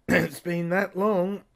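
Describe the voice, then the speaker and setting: A man playing a dry, muddled police officer in an amateur audio drama, muttering haltingly as he puzzles through files. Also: high-pitched, flat delivery, a bit bright, full character voice. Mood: muddled, musing. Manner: halting, muttering, often clearing throat. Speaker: actor in a serial audio drama.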